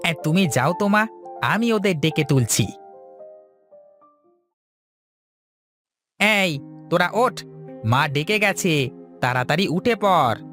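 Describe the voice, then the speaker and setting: A man's voice: sits high, expressive, normal speed, bright, forceful tone, soft background music. A man voicing an eager, willing young village son in a cartoon fairy tale. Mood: rousing.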